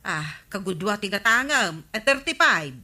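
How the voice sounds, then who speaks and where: A woman's voice: mid-range voice, sing-song, moderate pace, sharp tone, exaggerated voice. A woman playing a firm, grudging village shopkeeper in a radio comedy-drama, with an Ilocano accent.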